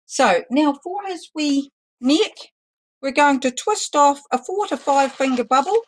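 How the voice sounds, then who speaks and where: A woman teaching a live balloon-twisting lesson, talking loudly and chattily with some self-deprecating humor. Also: high-pitched, animated, a little slow, a little hiss or hum. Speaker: balloon artist teaching a workshop. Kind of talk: live online demonstration lesson.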